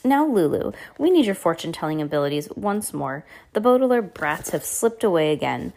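A woman's voice: lowish voice, sing-song, fairly quick, creaky, darkish tone. A woman voicing a loyal male henchman in a storybook, businesslike and matter-of-fact.

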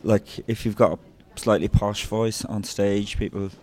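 A man's voice: fairly low pitch, fairly even intonation, unhurried, darkish tone. An Irish man hosting a podcast, chatting loosely and rambling through stories before interviewing a guest. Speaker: podcast host. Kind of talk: casual rambling monologue and interview.

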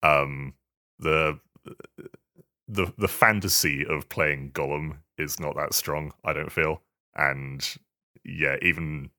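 A man's voice: a bass voice, steady tone, takes it slowly, bright, forceful tone, no echo, studio quality. A man talking casually on a podcast, sometimes reading a statement aloud. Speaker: podcast panellist.

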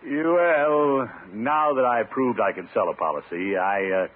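A man's voice: medium pitch, measured pace, lightly acted. A man playing a blustery, self-important middle-aged bachelor uncle in a vintage radio comedy. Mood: blustery, hearty. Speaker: lead actor in a radio comedy. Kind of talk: 1950s radio sitcom acting. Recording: noisy, narrow old radio recording.

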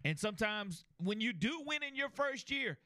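Man, sports co-host, high voice, bright and crisp, earnest, stumbles on sentence starts. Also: sing-song, moderate pace, no echo, clean studio sound. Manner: earnest, repeats words when starting sentences. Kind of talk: casual sports talk.

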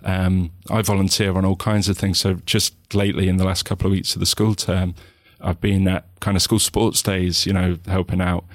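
A man with a southern English accent, a guest in an interview, talking reflectively with many pauses, restarts and "you know"s. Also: low-pitched, little pitch movement, dry recording, clean studio sound. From England.

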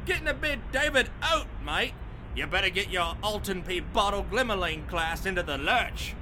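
A squeaky Cockney geezer. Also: male voice, lively intonation, background noise. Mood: cheeky. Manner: cheeky rapid banter in rhyming slang. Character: chirpy Cockney bloke full of rhyming slang. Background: music.

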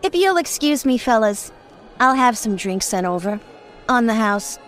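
A sultry, dangerous hostess in a noir radio play. She is teasing and self-assured, with pitch that moves freely over prominent background music. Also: very animated, moderate pace, fairly bright tone. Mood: teasing, dismissive. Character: sultry, sassy, dangerous nightclub hostess woman. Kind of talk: noir radio drama acting.

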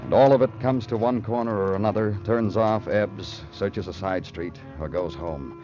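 An actor playing a world-weary New York police detective in a vintage radio crime drama, speaking in a brooding, clipped and lyrical way. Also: male voice, on the low side, normal speed, mellow, natural voice. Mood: wistful, brooding. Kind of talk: 1950s radio noir acting and narration. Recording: dull old radio recording with music.

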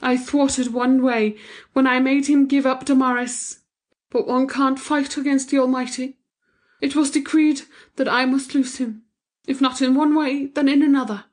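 A woman's voice: high voice, fairly even intonation, moderate pace, darkish tone, voice pushed up. A woman reading a proud, grief-stricken middle-aged mother in an audiobook, bitter and intense. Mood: grieving.

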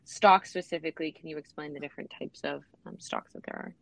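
A woman's voice: deep voice, huge pitch swings, fast talker, gravelly, dry recording, poor audio. A woman hosting an interview, asking casual, hesitant questions. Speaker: interview host.

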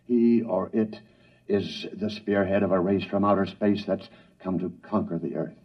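An actor playing a grave, measured newscaster delivering dramatic bulletins in an old-time radio drama. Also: male voice, low voice, steady tone, faint music behind. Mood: grave, urgent. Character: grave male radio newscaster reporting disaster. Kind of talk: 1950s-style radio news announcing in a drama. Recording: muffled, distant vintage radio, husky sound.

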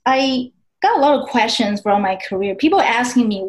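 A woman's voice: on the high side, animated, a little fast. A woman from Taiwan with a Taiwanese Mandarin accent, a podcast guest telling her story in casual chat, full of fillers and laughs. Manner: chatty, many fillers, laughs often. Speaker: guest author.

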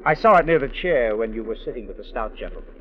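An actor playing a courteous, inquisitive and quietly sly young man in an old-time radio mystery. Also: medium pitch, animated, quick pace, natural voice. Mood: polite, probing. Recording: tinny, faintly noisy old radio recording.

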